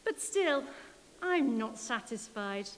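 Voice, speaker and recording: Big bad wolf, male, greedy and boastful, read by a woman; slow gloating threats in a reverberant hall. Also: fairly high pitch, animated, a little hiss or hum.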